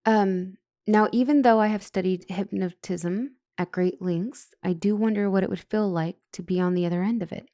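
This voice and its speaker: A woman playing a flustered, smitten doctor and couples counselor in an ASMR roleplay drama, hesitant and breathy. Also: medium pitch, moderate pace, hardly any pauses, warm tone, no room sound, natural voice. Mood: flustered, eager.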